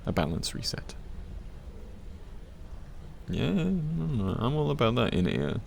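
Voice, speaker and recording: Soft asmr guy playing a sweet teasing boyfriend, deep creaky voice, soothing, bit of library noise behind him. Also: big pitch swings, measured pace, heavily acted. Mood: playful, soothing. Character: tender, teasing male partner helping a loved one study. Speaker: ASMR voice actor. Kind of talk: soft-spoken ASMR roleplay. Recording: faint background library ambience.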